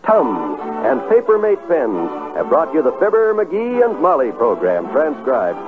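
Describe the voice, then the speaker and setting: American radio announcer man, lively vintage delivery. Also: fairly high pitch, a little slow, over music. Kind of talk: 1950s scripted radio announcing. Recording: tinny, muffled 1950s radio broadcast.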